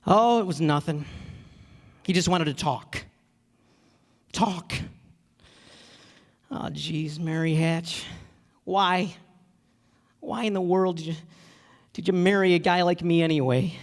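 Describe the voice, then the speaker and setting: An actor playing an earnest, self-doubting young husband in a radio play, with a halting, folksy stammer. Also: male voice, a little high, fairly even intonation. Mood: dejected. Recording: echoey hall, stage reverb.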